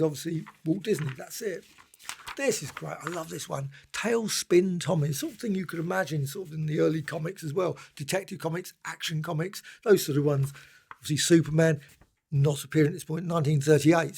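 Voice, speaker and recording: A man's voice: high voice, vocal fry, darkish tone, slightly rough. A man giving a casual, unscripted review commentary, musing and rambling as he goes and often trailing off. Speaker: book and comic reviewer.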